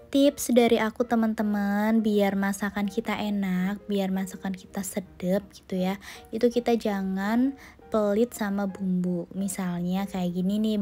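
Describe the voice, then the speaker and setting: A woman in her thirties chatting casually to viewers in a cooking vlog voice-over, slightly hoarse and nasal from a sore throat. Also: medium pitch, warm tone. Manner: chatty, friendly, addresses viewers as friends, many fillers. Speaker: homemaker vlogger. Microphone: phone recording.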